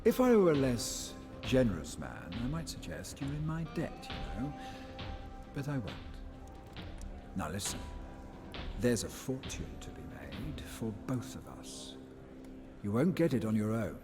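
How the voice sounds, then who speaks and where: A shrewd male schemer from a sci-fi game. His mid-range voice moves in wide, insinuating swings. He is measured and wry, with music playing behind him. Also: mid-range voice, sing-song. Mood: wry, persuasive. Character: smooth, shrewd male schemer offering bounty deals. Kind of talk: video game cutscene acting.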